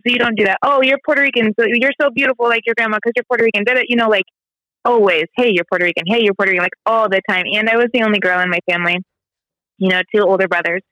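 A woman's voice: fairly high pitch, bright, forceful tone, no echo, poor audio. A woman in her thirties from the American Midwest, talking candidly in a casual conversation, full of "like" and "you know". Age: 30s. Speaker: guest. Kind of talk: personal conversation.

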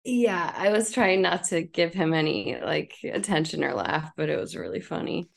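A woman's voice: deep voice, lively intonation, normal speed, gravelly. A woman co-hosting a podcast, telling stories casually with a lot of swearing and joking. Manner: profane, joking. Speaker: podcast co-host. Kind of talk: casual chat and comic storytelling.

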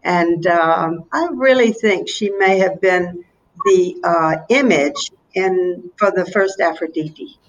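An older woman in her seventies speaks with a Southern US accent. She talks reflectively and a little haltingly, telling personal anecdotes in a casual interview. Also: lowish voice, poor audio. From North Carolina, USA. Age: 70s. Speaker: interview guest, painter.

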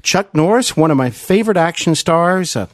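A man hosting a conversational interview, polite and appreciative, with a slightly halting way of putting questions. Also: fairly high pitch, lively intonation, dry recording, studio quality. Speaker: podcast host.

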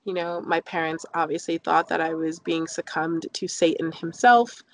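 A woman's voice: deep voice, flat delivery, moderate pace, dry recording, rough recording. Joking, emphatic woman in dry close sound. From London, UK. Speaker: interview guest, actress. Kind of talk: casual personal storytelling in an interview.

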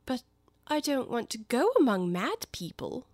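A woman's voice: a little high, sing-song, unhurried, darkish tone, dry recording. A woman voicing an earnest, proper young girl in a storybook, with questioning, lilting speech. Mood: polite, curious. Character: polite, earnest, curious little girl.

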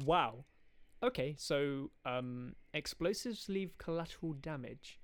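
A man's voice: on the high side, animated, slow, clear, resonant tone. A man voicing a sarcastic, exasperated young pub owner in an audio drama. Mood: deadpan. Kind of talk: fan audio drama acting.

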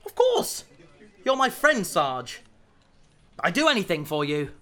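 A man's voice: high-pitched, big pitch swings, a little slow, pushed and bright, slight background noise, full character voice. A man playing an earnest, reserved and loyal police officer in an audio drama. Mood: indignant, loyal.

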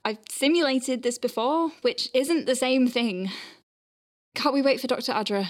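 A woman's voice: high voice, normal speed, close to an everyday voice. Flustered, urgent woman medic acted out. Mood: urgent, anxious. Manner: urgent, exasperated. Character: flustered, anxious female space medic under pressure. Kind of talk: audio drama dialogue.

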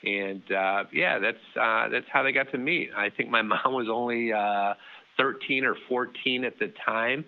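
A middle-aged man telling a family story as an interview guest, relaxed and full of fillers and restarts. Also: mid-range voice, measured pace, vocal fry, narrow, tinny audio.

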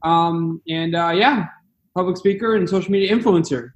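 A man's voice: high-pitched, moderate pace, highs cut off. A man in his twenties, a podcast guest who speaks earnestly and often repeats a word as he starts a sentence. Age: 20s. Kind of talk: interview answers.

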